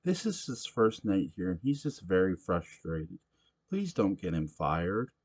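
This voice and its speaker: A man's voice: sits low, big pitch swings, unhurried, a little mellow, heavily acted. Flustered young woman, read by a man, stammering and pleading, storybook reading.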